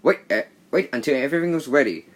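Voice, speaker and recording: Storybook railway controller, read by a man: male, bossy and brisk, halting orders with animated pitch. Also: close to an everyday voice. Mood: stern, urgent.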